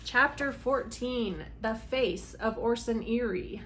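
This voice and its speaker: High-voiced woman reading a children's story. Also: slow, a little hiss or hum. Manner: dramatic, emphatic on exciting moments. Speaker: read-aloud host for children.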